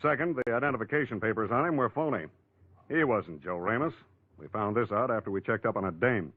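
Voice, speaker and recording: An actor playing a matter-of-fact homicide lieutenant in a 1940s American radio crime drama, with clipped, slangy tough-cop talk and an American accent. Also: male voice, lowish voice, fairly quick, breathy edge, dry recording. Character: beleaguered, matter-of-fact police homicide lieutenant briefing reporters. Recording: thin, phone-like old radio recording.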